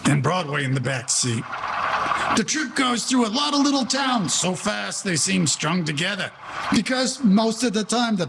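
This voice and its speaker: A man's voice: high-pitched, creaky, fairly bright tone, a little hiss or hum, exaggerated voice. A man playing the wry narrator of a 1940s gangster audio play, telling the story in droll, formal slang.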